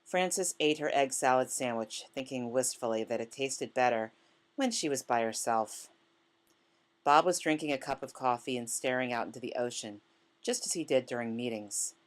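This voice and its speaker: A woman reading literary fiction aloud as scripted narration, in a measured manner. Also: very deep voice. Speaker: author reading a short story.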